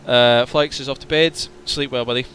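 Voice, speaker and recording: A man streaming a flight simulator, chatting casually and answering viewers' questions while his voice starts to strain and go hoarse. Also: mid-range voice, fairly even intonation, moderate pace, fairly bright tone, a little hiss or hum. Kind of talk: casual livestream chat.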